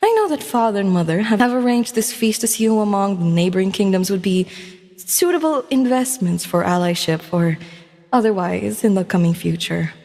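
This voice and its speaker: A gentle young crown princess, played by a woman, speaks with refined, formal courtly phrasing and a sense of burdened duty. Her medium-pitched voice rings with long reverb, as in a fantasy audio story. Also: lively intonation, moderate pace. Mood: weary, dutiful.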